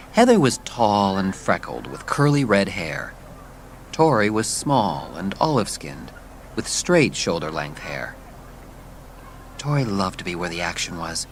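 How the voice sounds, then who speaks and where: A man's voice: lowish voice, a little hiss or hum. A man reading scripted narration as the storyteller of a dramatised audiobook.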